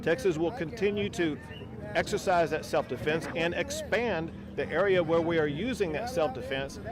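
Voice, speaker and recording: A man speaking as a governor at a press conference, emphatic and forceful, outdoors by a river. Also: a little high, moderate pace, a crowd in the background. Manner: forceful, emphatic political speech. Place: outdoors by a river border crossing.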